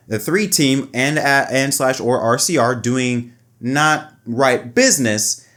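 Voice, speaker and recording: A man's voice: mid-range voice, fairly bright tone. Male host, opinionated motorsport analysis, emphatic and repetitive phrasing. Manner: emphatic, repeats words and phrases. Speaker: motorsport commentary video host. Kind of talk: opinionated race analysis commentary.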